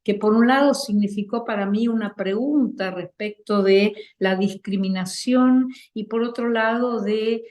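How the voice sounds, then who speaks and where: An Argentine woman speaks slowly and deliberately as an interview guest, weighing each thought. She pauses with small fillers and keeps a calm, reflective tone. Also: mid-range voice, dull audio. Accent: Argentine Spanish. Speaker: actress and theater director, guest.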